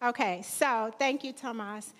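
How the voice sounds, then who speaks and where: A woman's voice: fairly high pitch, unhurried, fairly bright tone, slight background noise. A woman hosting a live event in a theater, chatting informally and playfully with the audience. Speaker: event host. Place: theater stage. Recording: live hall with long reverb.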